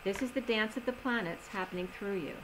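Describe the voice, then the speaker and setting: A woman giving a tarot reading in a slow, soothing manner, slightly strained as she is losing her voice. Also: medium pitch, noisy background. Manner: slow, soothing reading of oracle cards with gentle pauses. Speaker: tarot reader. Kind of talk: solo tarot reading video.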